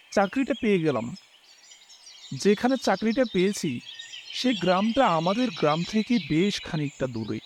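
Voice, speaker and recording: A man's voice: high voice, noisy background. A man playing a worried, dutiful family man who tells his own misfortune as a horror story, with dramatic, emotive delivery. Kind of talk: first-person horror story narration with acted dialogue.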